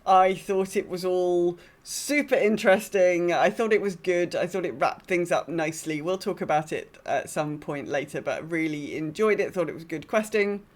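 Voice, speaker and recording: A woman's voice: on the low side, moderate pace, hardly any pauses. A woman co-hosting a podcast, casually recapping her gaming in an understated, conversational way. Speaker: podcast co-host. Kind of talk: casual chat about an online game.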